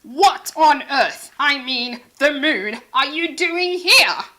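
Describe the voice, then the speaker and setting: A woman voicing a pompous, rude, rich male hippo astronaut in a children's storybook, with booming, haughty delivery. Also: expressive, takes it slowly, pushed and bright, exaggerated voice. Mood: indignant, boastful.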